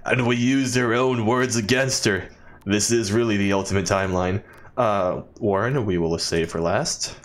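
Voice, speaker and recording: A man's voice: fairly low pitch, moderate pace. A man hosting a gaming video, casually reading game messages aloud and commenting in a playful, theatrical way.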